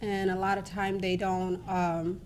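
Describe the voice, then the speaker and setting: A woman hosting a talk show in an informal, encouraging, conversational way over a rumbly background. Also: level tone, unhurried, darkish tone, a little hiss or hum.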